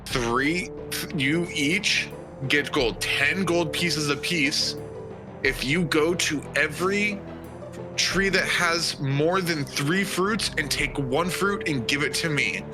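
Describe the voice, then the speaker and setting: A man improvises as a player at a tabletop game, in a slightly high voice. He speaks slowly and haltingly, working out his character's actions aloud. Music plays clearly behind him. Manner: halting, thinking out loud. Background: background music.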